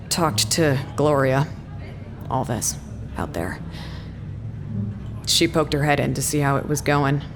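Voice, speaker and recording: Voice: medium pitch, expressive, takes it slowly, pauses often, background noise. An actor playing a weary, wry facility worker in audio drama dialogue, casual, hesitant and understated. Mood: subdued.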